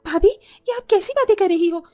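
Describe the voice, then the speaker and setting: A woman voicing an earnest, polite young village seamstress in an animated story. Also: extremely high pitch, normal speed, soft background music. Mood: pleading, distressed. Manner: polite, pleading.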